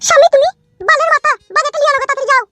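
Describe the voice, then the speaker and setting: A woman's voice: very high voice, steady tone, rapid-fire, bright, forceful tone. A woman voicing a foul-mouthed, jealous village wife in a comic cartoon, cursing and nagging. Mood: furious. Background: music.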